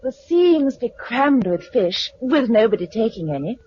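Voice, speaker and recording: Spirited survivor's wife, British, caring, very animated high pitch, 1950s radio drama, dull vintage sound. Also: female voice, normal speed, a little hiss or hum. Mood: playful, coaxing. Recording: muffled 1950s radio recording.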